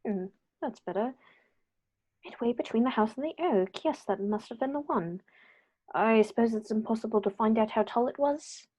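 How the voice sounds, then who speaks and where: A woman playing a calm, clever male detective in an old-style radio play, measured and precise as she works things out aloud. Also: on the high side, low-quality recording. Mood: calm, deductive. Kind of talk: 1930s radio play acting.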